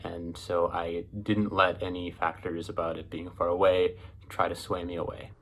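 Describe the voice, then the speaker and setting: A man's voice: low voice, flat delivery, moderate pace, a bit bright, a little hiss or hum, low-quality recording. A young man from Ohio, a recent graduate, answering concisely and directly. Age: young adult.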